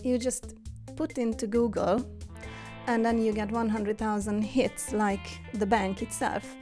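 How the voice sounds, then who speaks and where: A woman in her forties with a Hungarian accent, a guest telling her story in a relaxed interview, with frequent fillers and restarts. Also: mid-range voice, unhurried, soft background music. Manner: hesitant, many fillers and restarts. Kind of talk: casual interview conversation.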